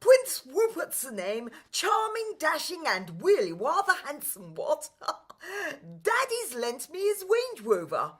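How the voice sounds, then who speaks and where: Bumptious posh young prince, played by a woman: high, very animated, pompous, pantomime radio comedy. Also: takes it slowly, heavily acted. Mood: boastful, excited. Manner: pompous upper-class exclamations.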